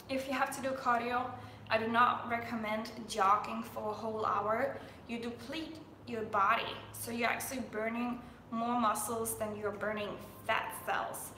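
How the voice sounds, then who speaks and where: A woman's voice: fairly high pitch, sharp tone, reverberant, a little hiss or hum. A young woman from Denmark, a fitness coach chatting on a live stream, full of fillers and restarts.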